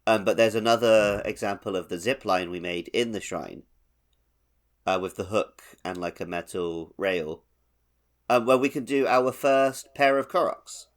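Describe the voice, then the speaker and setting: A man's voice: fairly low pitch, a little slow. A man co-hosting a podcast, discussing a game casually and explaining things informally with loose, conversational phrasing. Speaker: podcast co-host.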